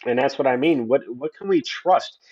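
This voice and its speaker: A man's voice: medium pitch, moderate pace, darkish tone, breathy edge, highs cut off. A man in his forties, a guest on a livestream, talking earnestly and at length with many restarts. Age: 40s. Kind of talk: opinionated conversation.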